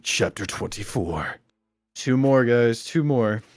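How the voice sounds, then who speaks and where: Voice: mid-range voice, animated, slow, no echo, studio quality. A giggly co-reader on a livestream, chatting casually and interjecting jokes around a comic dramatic reading.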